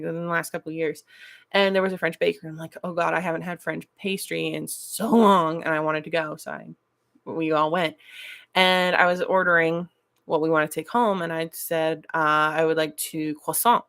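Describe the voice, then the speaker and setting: A young adult woman from the United States hosting a casual chat stream, blunt and animated, laughing often as she talks. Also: lowish voice. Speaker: stream host. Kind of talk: casual chat reading chat messages.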